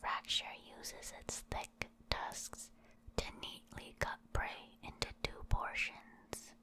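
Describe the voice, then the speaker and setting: A woman reads aloud in a soft, unvoiced whisper for ASMR. Her delivery is very slow and deliberate, with a soothing manner meant for relaxing. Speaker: ASMR creator reading game creature entries.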